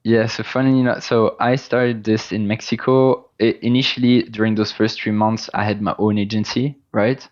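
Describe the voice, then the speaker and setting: Low, flat-voiced man with a French accent. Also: moderate pace, hardly any pauses, lo-fi sound. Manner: hesitant, many restarts and fillers. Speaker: agency founder, guest. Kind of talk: casual interview conversation.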